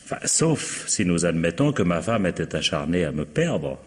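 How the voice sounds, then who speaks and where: An actor playing a courteous, resigned middle-aged widower accused of murder, in 1950s French radio drama style, with a husky voice. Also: male voice, sits low, big pitch swings, normal speed, in a large hall, slight background noise. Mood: defensive, agitated. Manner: polite, resigned, wry.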